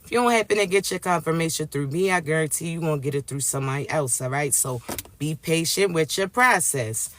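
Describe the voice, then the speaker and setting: A man with a raspy, allergy-congested voice giving a live spiritual reading, addressing listeners directly and checking in with "all right?" often. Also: a little high, fairly quick, a bit bright, slight background noise. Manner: emphatic, repeats "all right?" and "right?" tags. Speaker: spiritual reader.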